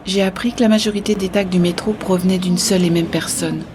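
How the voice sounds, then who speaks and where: Casting: actress for thoughtful female companion in sci-fi audio fiction, matter-of-fact, little pitch movement, faint background ambience. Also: fairly even intonation, quick pace, darkish tone, slight background noise, lightly acted. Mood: calm, reflective.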